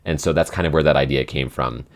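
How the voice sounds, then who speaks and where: A man's voice: very deep voice, steady tone, fast talker. A man chatting casually in a Q&A, explaining his thoughts in a rambling way with restarts mid-sentence. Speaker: podcast co-creator and writer. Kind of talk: casual Q&A chat.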